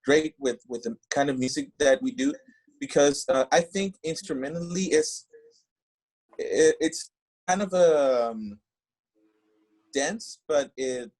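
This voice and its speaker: A man, a band singer guesting on a podcast, talking casually and haltingly as he searches for English words. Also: a little high, animated, a little mellow, poor audio. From Mexico. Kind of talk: casual interview chat.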